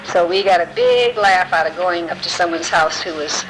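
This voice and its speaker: An elderly woman from Illinois in her nineties, gently recalling her life in an unhurried way, often pausing with um and uh. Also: on the low side, slight background noise, poor audio. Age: older. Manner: gentle, unhurried storytelling with many ums and uhs. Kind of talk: reminiscing, answering memory prompts.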